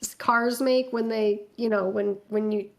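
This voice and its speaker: A woman's voice: mid-range voice, steady tone, unhurried, vocal fry. A woman reading fanfiction aloud as co-host of a comedy show, moving between dramatic narration and casual banter.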